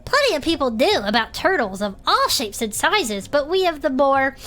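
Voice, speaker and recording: A woman's voice: high voice, very animated, moderate pace, a bit bright. A woman playing a friendly, bookish turtle-folk investigator who explains things earnestly and chirpily as a podcast host in an audio drama. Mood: eager, explanatory.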